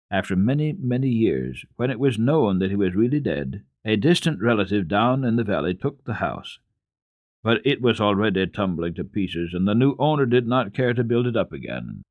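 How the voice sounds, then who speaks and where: A man with a fairly low voice narrates classic children's literature for radio. His intonation swings widely, and he phrases each passage deliberately and expressively, leaning on key words like a practiced storyteller. The sound is dry and close, with very little room in it. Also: darkish tone, no echo.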